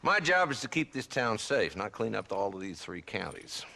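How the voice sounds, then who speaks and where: An actor playing a stern, world-weary, middle-aged Old West marshal in a video game, sardonic, with an American Southern accent. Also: male voice, lowish voice, big pitch swings, moderate pace, gravelly, bright, forceful tone, slight background noise, full character voice. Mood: defensive, weary. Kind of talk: Western video game acting.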